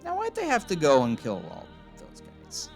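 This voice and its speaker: A man's voice: sits high, huge pitch swings, normal speed, soft background music. A man giving casual, mocking commentary on a film in a sarcastic, irreverent way. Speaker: video host and commentator.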